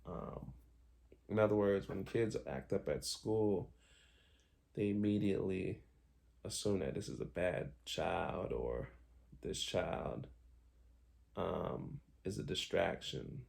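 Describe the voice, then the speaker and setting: A man's voice: deep voice, slow pace, creaky, long pauses, a little mellow. A young man from Haiti telling his own life story in a candid, reflective way, with many ums and restarts. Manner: frequent ums, candid, self-correcting.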